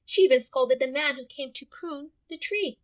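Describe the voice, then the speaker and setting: A woman's voice: high-pitched, normal speed. A woman teacher giving an interactive storybook read-aloud, explaining and addressing her young listeners directly.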